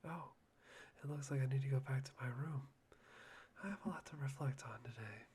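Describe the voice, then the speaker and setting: A man voicing a polite, brooding young man in a soft, halting, breathy whisper for an ASMR roleplay. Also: mid-range voice, slight background noise, lightly acted. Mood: brooding, disdainful.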